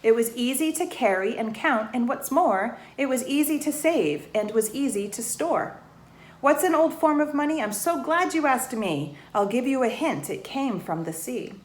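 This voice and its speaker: Higher-voiced woman reading a children's storybook. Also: lively intonation, moderate pace. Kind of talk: children's storybook read-aloud, rhymed verse with asides to listeners. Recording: roomy echo, faint background noise.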